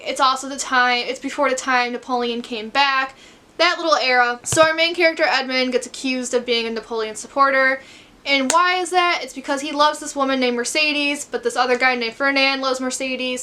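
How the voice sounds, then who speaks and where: A young woman talking casually to her camera at home about a book she is reading, chatty and candid, with quick asides and casual swearing. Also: sits high, bright, forceful tone. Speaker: book vlogger. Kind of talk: casual vlog chat to camera. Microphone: camera mic.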